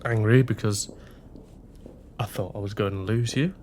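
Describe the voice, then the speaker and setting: A man's voice: on the low side, steady tone, normal speed, a little mellow, slight background noise, close to an everyday voice. An actor playing a sincere young working-class grocer's assistant in a period radio drama, speaking earnestly and tenderly to his sweetheart. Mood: regretful, tender.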